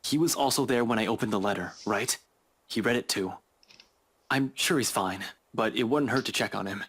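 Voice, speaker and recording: An actor playing a shaken young male investigator in a game, halting yet insistent. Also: on the low side, a bit bright, slightly rough. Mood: urgent, insistent. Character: shaken, evasive young male investigator, stubbornly holding together. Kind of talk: naturalistic game voice acting.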